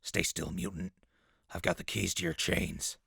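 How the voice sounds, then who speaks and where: An actor playing a gruff, curt undercover infiltrator among raiders in a post-apocalyptic audio drama, with a rough voice. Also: male voice, sits low, steady tone, normal speed, pushed and bright, no echo, clean studio sound, heavily acted. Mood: curt, commanding. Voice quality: gravelly, rough. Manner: curt, bossy.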